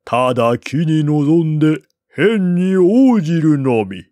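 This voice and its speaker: Man acting a blunt, slow-spoken strategist. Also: on the high side, lively intonation, warm tone, no echo, studio quality, pitched above the performer's own voice. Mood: deadpan, blunt. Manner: gruff, curt, drawn-out delivery. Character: blunt, ugly, unruffled male strategist, teasing and aloof. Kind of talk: audiobook character voice.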